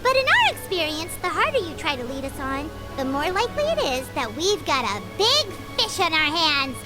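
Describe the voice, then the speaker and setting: A tiny, cheeky fairy companion from a video game, with an extremely high, childlike squeak. She is brash and excitable, swinging up and down as she talks over loud music. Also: a little fast. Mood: accusing. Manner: brash, excitable, speaks of herself by name. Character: small, cheeky, talkative floating fairy companion girl. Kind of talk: English video game dub acting. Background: loud background music.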